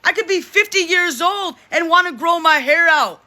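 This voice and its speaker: Vlogger in their 30s, self-described deep voice, forceful and emphatic, lots of restarts. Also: normal speed. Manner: emphatic, repeats and restarts phrases. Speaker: vlogger speaking to supporters. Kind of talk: casual monologue to camera.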